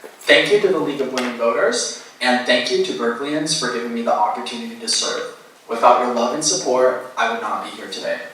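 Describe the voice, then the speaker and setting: A man, a candidate, delivering a prepared campaign statement in a steady, rehearsed way. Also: medium pitch, fairly quick, a little hiss or hum. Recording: echoey hall, voice from far off.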